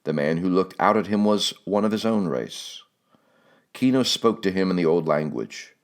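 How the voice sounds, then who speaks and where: A man's voice: deep voice, expressive, normal speed. A man reading a literary audiobook as scripted narration.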